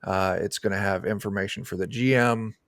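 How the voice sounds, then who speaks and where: A man in his thirties or forties speaks casually as an interview guest. His voice is fairly low and recorded close, with very little room sound. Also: fairly even intonation, brisk, a bit bright, dry recording. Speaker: game designer, interview guest. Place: indoor room, video call. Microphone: close desk microphone.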